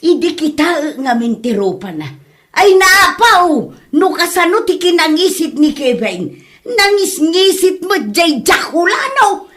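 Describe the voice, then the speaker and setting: A woman's voice: extremely high pitch, sing-song, a little slow, a bit bright, exaggerated voice. A woman with an Ilocano Filipino accent playing a mocking, giggly mother and auntie in a comedy drama, exclaiming and laughing through her words. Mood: gleeful teasing.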